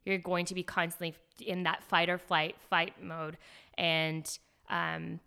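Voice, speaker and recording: Smooth-voiced woman doctor explaining. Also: lowish voice, level tone, a little slow, sharp tone. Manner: earnest, explains medical terms step by step. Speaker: doctor guest. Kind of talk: explanatory interview talk.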